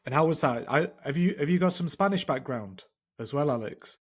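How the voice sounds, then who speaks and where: A man speaks as co-host of a casual interview show. His voice is a bit higher than most men's. He talks in a laid-back, slangy manner. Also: animated, fairly quick, breathy edge. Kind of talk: casual chat.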